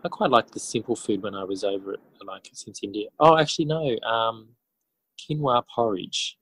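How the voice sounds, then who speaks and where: Male interview guest, husky and lowish, casual and halting delivery. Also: a bit bright, dry recording, rough recording. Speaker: interview guest, author and educator.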